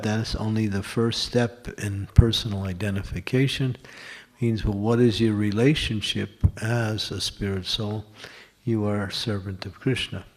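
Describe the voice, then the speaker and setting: A man giving a scripture class at a temple, explaining step by step and posing and answering his own questions. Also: lowish voice. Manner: lists examples in short runs.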